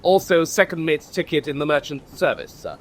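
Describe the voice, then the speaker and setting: Self-assured lieutenant, highish pitch, courteous, clipped, radio play. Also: male voice, sing-song, fairly quick, slight background noise. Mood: politely assertive. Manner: correct, clipped military speech. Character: polite, self-assured British naval lieutenant. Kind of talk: radio drama acting.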